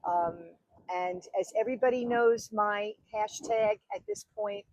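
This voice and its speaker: A woman's voice: medium pitch, measured pace, a little mellow, slight background noise, low-quality recording. A woman in her sixties with a New York accent, telling her story as a wedding officiant on a podcast, chatty and full of fillers. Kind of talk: podcast interview.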